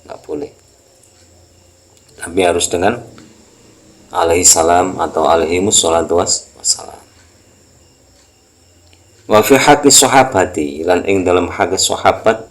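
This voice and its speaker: Male religious teacher, Javanese accent, very low creaky voice, halting explanatory delivery of a text lesson. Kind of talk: Islamic text lesson, reading aloud and explaining.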